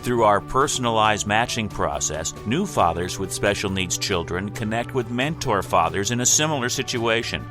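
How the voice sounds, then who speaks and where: A man's voice: lowish voice, expressive, normal speed, hardly any pauses. A man announcing a podcast in a polished, scripted delivery. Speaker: show announcer. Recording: guitar music bed behind.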